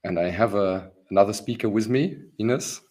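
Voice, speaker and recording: Man, rough hoarse voice, very deep pitch, halting webinar talk. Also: a little mellow. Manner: halting, many ums and restarts. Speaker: webinar host, company founder. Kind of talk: business webinar presentation.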